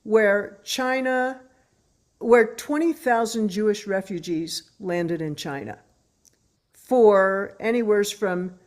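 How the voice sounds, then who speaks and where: An older woman with an American accent talks as a musician being interviewed. Her voice sits at a middle pitch, and her delivery is conversational and informal. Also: slow. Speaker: musician, guest. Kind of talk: casual interview talk.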